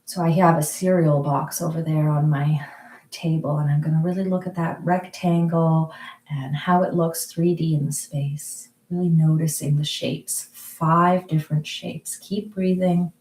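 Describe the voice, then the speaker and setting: Soft-spoken woman guiding a mindfulness exercise. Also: deep voice, reverberant. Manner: slow, soft, calm guiding with frequent pauses. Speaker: mindfulness group leader. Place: kitchen or dining room at home.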